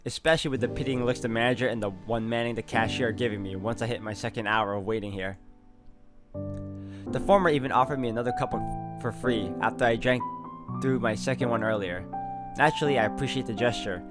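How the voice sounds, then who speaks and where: A man's voice: mid-range voice, brisk, music in the background. A man reading first-person visual novel narration aloud as he plays through the game.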